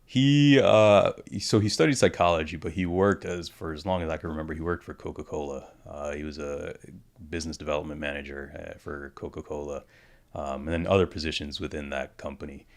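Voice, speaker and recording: A man's voice: very deep voice, a little fast, vocal fry. A man in his thirties, born in the Dominican Republic, talking candidly as a podcast guest, reflective and full of "you know" fillers. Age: 30s. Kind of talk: candid conversational interview.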